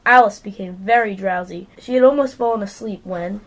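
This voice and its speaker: A woman's voice: mid-range voice, a little fast. A female amateur narrator reading scripted storybook narration for a radio play.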